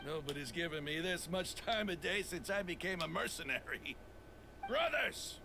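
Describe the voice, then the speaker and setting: A gravelly sneering mercenary boss. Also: male voice, high voice, animated, normal speed, crowd noise. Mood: mocking. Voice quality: gravelly, taunting. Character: boastful male desert mercenary leader, menacing. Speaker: game character. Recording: game audio under ambient noise.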